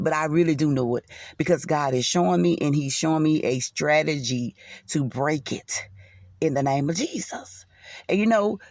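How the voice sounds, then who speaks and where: A man's voice: mid-range voice, creaky, a little mellow, dry recording. A man with a stuffy nose giving an earnest, preachy spiritual talk to camera, with many fillers and a lot of "you know.". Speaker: faith vlogger.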